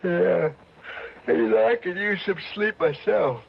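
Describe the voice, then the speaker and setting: A man's voice: high-pitched, measured pace, gravelly, exaggerated voice. An actor playing a jumpy, agreeable male fugitive sidekick in an old-time radio drama. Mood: uneasy. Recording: dull old radio recording.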